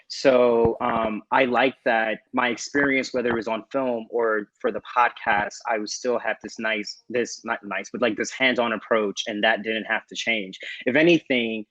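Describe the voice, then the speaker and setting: A man speaking as a panelist in casual conversation, halting as he searches for words. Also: medium pitch, fairly bright tone, no echo. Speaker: panelist, director with acting background. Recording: dull, lo-fi microphone sound.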